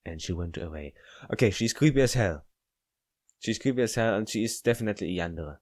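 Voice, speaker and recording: Deep-voiced man reading a game aloud. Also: animated, fairly quick, a little mellow. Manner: stumbles over words while reading. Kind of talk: reading a visual novel aloud with commentary.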